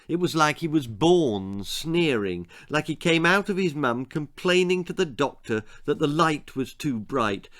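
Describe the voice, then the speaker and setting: A man with a British accent reading his own children's story as scripted narration, dramatic and with pointed pauses. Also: a little high, fairly bright tone.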